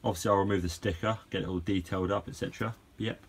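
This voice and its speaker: A man's voice: deep voice, fairly even intonation, racing, slight background noise. A man casually talking through a project while filming it on his phone outside a garage, rambling with fillers and restarts. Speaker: car owner vlogger. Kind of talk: casual how-to vlog. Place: outdoor garage yard. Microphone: phone camera held while filming.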